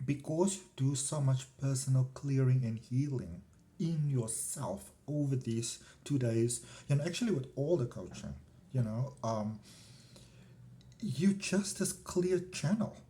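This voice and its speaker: A man's voice: mid-range voice, dark tone. A middle-aged man with a South African accent, talking casually and discursively as a spiritual vlogger, often trailing off into asides. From South Africa, countryside. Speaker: spiritual vlogger and energy healer. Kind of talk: casual rambling personal talk to camera.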